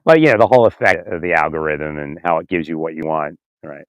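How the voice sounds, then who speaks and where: A man with a slightly hoarse, raspy voice talking in a rambling, halting way, repeating words, chuckling often and asking "right?" as he speaks into his phone outdoors. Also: extremely low pitch, fairly bright tone, dry recording. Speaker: vlogger. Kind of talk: rambling casual monologue. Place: outdoors in winter.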